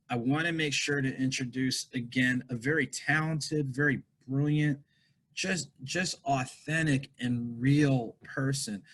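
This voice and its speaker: A man's voice: medium pitch, a bit bright, slightly rough. A man hosting an online author roundtable, upbeat and chatty as he asks rambling questions over a video call. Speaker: host. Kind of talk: casual interview hosting.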